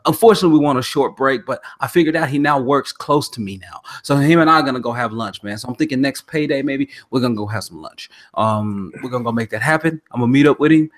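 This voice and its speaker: Slangy animated baritone man host. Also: fast talker, hardly any pauses. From Oklahoma. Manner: slangy, animated, laughs often. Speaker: podcast host. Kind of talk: casual podcast chat.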